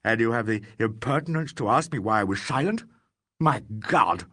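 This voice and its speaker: A man reading an audiobook voices a pompous, hysterical disgraced financier who rants in a shrill, trembling voice. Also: big pitch swings, unhurried, a bit bright, slightly rough, no room sound, clean studio sound, heavily acted. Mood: ranting. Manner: shrill, indignant, trembling, ranting.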